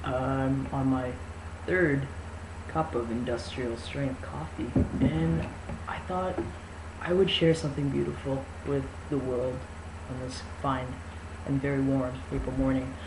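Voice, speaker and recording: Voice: medium pitch, fairly even intonation, measured pace. A narrator giving a mock-dramatic amateur reading of a novel, with casual introductory talk. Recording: echoey room with faint noise.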